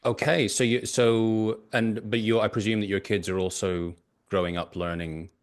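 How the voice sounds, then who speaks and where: A man's voice: on the low side, level tone, moderate pace. A man who is a native English speaker, hosting a casual podcast interview and often repeating words as he frames his questions.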